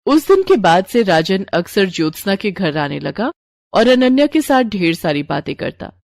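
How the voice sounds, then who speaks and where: A woman's voice: mid-range voice, big pitch swings, moderate pace, gravelly, a little mellow, no room sound, clean studio sound. A woman reading a scripted story as its narrator.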